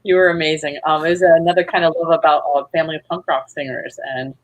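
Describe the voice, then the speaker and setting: A woman's voice: low voice, fairly even intonation, fast, dry recording. A woman chatting casually and effusively as a playwright guest on an interview show. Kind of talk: casual chat.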